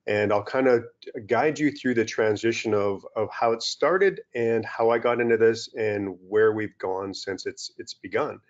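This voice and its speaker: A middle-aged man from Western Canada giving a relaxed webinar lecture over slides from a small room, with many fillers and restarts. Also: fairly low pitch, a little slow. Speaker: turfgrass scientist presenting a webinar. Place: small indoor room, video call. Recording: dull lo-fi video-call audio.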